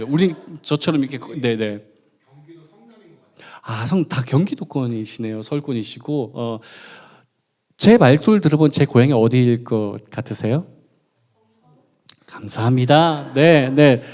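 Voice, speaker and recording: A man's voice: mid-range voice, dark tone. A man preaching at a midweek church service, chatty and playful, joking with the congregation and asking them questions. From Gunwi, North Gyeongsang, South Korea. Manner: playful, chatty, jokes and quizzes the audience. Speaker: pastor. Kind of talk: sermon with congregation interaction.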